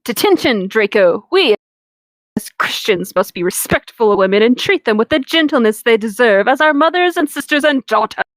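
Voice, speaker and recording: A woman's voice: fairly high pitch, expressive, moderate pace, no room sound. A woman reading fan fiction aloud with sarcastic, sweary casual commentary, close on the microphone. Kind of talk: casual comedic commentary and read-along.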